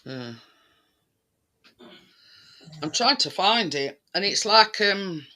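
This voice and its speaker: A man with a very bright, sharp voice pitched on the high side. He chats casually and rambles, breaking off and circling back as he hunts for the right word. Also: animated. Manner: rambling, halting, searching for words. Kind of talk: casual chat.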